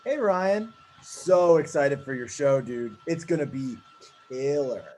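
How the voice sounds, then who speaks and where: Male, actor as smooth-talking network executive, chummy and glib, very animated pitch, comedy table read. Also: slow, a little hiss or hum. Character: breezy, slick male TV network boss.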